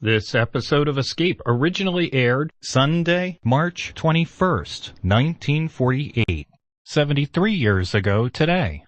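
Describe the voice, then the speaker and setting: A man's voice: fairly high pitch, animated, measured pace. A man reading a scripted announcement as a radio station announcer.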